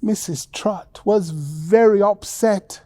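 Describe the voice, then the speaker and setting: A woman telling a children's bedtime story in a theatrical, deliberate way with dramatic pauses. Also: medium pitch, warm tone, dry recording.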